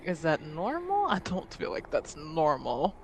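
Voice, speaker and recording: Slow, expressive player in a tabletop game. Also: mid-range voice, a little hiss or hum. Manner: giggly, breaks into laughter. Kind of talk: casual improvised actual-play roleplay.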